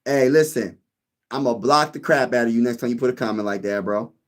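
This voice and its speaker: A man's voice: mid-range voice, fairly even intonation, brisk. Rambling casual man saying "bro". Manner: rambling, repeats phrases, says "bro" a lot. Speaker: YouTube sports channel host. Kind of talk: casual live-stream chat.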